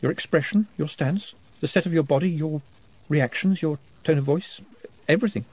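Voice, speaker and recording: A man's voice: fairly high pitch, moderate pace, a little mellow, slightly rough, no room sound, pitched above the performer's own voice. A man voicing a nervous, formal young scholar who speaks precisely and earnestly.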